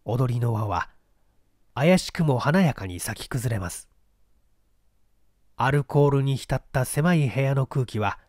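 A man narrating mystery fiction as a scripted literary reading, with measured, formal storytelling. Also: mid-range voice, lively intonation, a little mellow, dry recording, studio quality. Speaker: literary narrator, stage performer.

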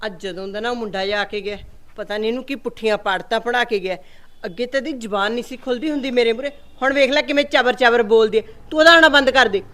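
A woman's voice: fairly high pitch, fast, fairly bright tone, lightly acted. A woman playing a stern, scheming mother-in-law in a family drama, accusing and scornful.

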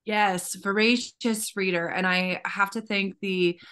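A woman's voice: mid-range voice, fairly even intonation, slow pace, sharp tone, smooth. A woman from Southern California recalling her childhood reading on a video-call author panel. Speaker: debut novelist on a panel. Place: home room.

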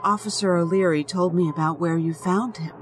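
A woman's voice: lowish voice, steady tone, a little fast, faint music behind, lightly acted. A woman playing an assured, good-humoured hospital doctor in an audio drama, matter-of-fact and wry.

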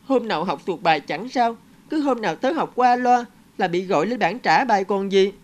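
A woman's voice: mid-range voice, normal speed, a little hiss or hum, natural voice. Woman voicing a plaintive little cartoon schoolboy. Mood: glum complaining. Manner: plaintive, expressive. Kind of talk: scripted cartoon dialogue. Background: background music.

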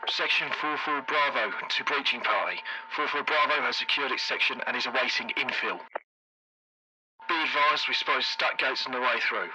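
A man playing a brisk, excitable soldier making military radio calls in a game, through a radio filter. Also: mid-range voice, rough recording. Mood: businesslike. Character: brisk, excitable soldier on tunnel breaching team. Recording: hissy military radio, faint noise.

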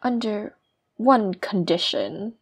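A woman's voice: medium pitch, very animated, slow pace, breathy edge, heavily acted. A woman acting a scheming, vindictive young villainess in an audio drama, smug and commanding. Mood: smug, falsely sweet. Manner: commanding, coolly menacing.

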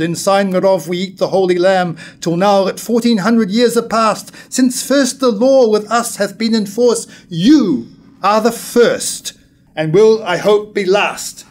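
An older man in his seventies playing a bitter, reproachful wronged husband in a staged verse tragedy, measured and declamatory. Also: high voice, darkish tone. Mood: reproachful, solemn. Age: 70s. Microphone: stand microphone at lectern.

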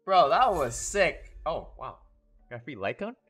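A young man giving casual live reactions as a game streamer, excitable and slangy. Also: on the high side, a little slow, husky. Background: game music.

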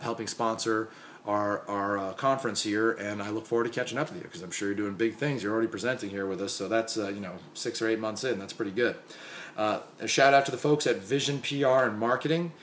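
Young man, hoarse, worn out, low voice, faint hiss, webcam in a small dim room. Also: fairly even intonation, quick pace. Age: young adult. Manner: chatty, filler-heavy shout-outs. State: hoarse and exhausted. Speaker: marketing consultant. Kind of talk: casual conference recap vlog.

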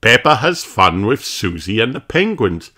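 A man's voice: on the low side, very animated, a little slow, bright, forceful tone, breathy edge. A man reading a children's storybook aloud as narrator. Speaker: storybook reader.

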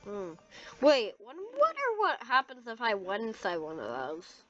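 High-pitched, slow-talking female roleplayer. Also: huge pitch swings, dull audio, over music. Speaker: player in a Roblox roleplay video. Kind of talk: improvised game roleplay chat.

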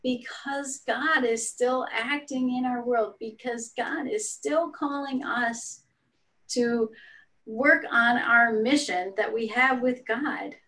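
A middle-aged woman pastor leading an online worship service from a small room at home, speaking gently and encouragingly over a video call. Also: on the high side, takes it slowly, poor audio.

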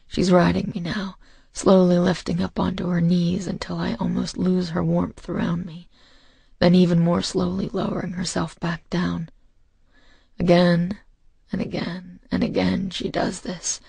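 Male romance narrator, very gravelly and husky, intimate and hushed, restrained pitch movement. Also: no echo. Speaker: audiobook narrator.

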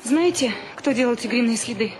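Woman as a high-voiced, defiant young ship's barmaid. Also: lively intonation, fairly quick, over music, natural voice, rough recording. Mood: defiant, confessing. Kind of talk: Russian radio play acting.

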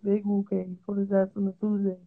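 A woman's voice: medium pitch, monotone, brisk, vocal fry. A woman calling into a livestream by phone, bantering brashly in casual slang. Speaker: livestream caller. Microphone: phone video call. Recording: muffled, noisy phone video call.

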